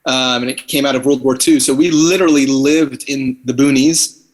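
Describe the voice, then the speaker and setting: A man's voice: medium pitch, steady tone, moderate pace. A man raised in the Philippines, a podcast guest talking thoughtfully and at length over a video call, often restarting his sentences. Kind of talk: casual conversation on a video call. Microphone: computer on a video call.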